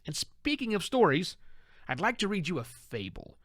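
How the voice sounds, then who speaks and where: A man's voice: sits high, very animated, normal speed, a bit bright, no room sound, clean studio sound. A middle-aged man with an American accent hosting a solo podcast, talking conversationally from an outline with self-deprecating asides.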